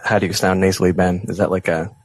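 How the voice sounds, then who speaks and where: A man's voice: sits low, level tone, quick pace, slightly rough. A man co-hosting a casual podcast, joking around with the others. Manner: jokey, playful. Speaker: podcast co-host. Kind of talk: casual chat.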